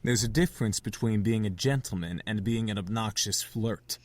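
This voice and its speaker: A man's voice: fairly low pitch, normal speed, a little mellow, no room sound, close to an everyday voice. An actor playing a polite, composed male incubus who leads his group in a visual novel game, speaking formally and courteously.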